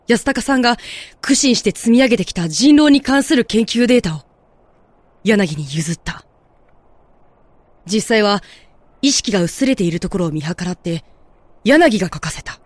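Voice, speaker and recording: An actor as composed guide, audio drama, mid-pitched voice, measured explanatory delivery, gentle, dry close recording. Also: dry recording. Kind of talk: Japanese audio drama acting.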